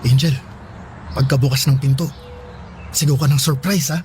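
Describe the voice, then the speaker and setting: A man's voice: medium pitch, fairly even intonation, normal speed, warm tone, slight background noise, lightly acted. A male actor playing an earnest husband and father in a radio drama. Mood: hopeful, polite. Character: earnest husband and father, trusting, then suspicious.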